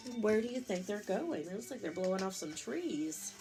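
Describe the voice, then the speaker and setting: A woman reading a picture book aloud to young children in an expressive, explanatory teacher's manner. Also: medium pitch, music in the background. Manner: expressive, pausing to explain and pose simple questions. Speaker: storytime reader, teacher.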